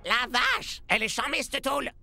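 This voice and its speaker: An excitable young cartoon footballer, the pushy best pal. His slangy, exclamatory voice jumps around with a creaky edge, over loud music. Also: very high-pitched, normal speed, heavily acted. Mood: thrilled. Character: brash young male footballer, excitable video-game-loving best pal. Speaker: voice actor in a children's animated series.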